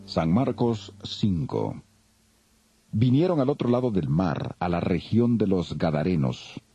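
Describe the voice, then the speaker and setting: A man narrating scripture in a solemn, expressive, dramatic storytelling style. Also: deep voice, takes it slowly, breathy edge. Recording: dull audio with music bed.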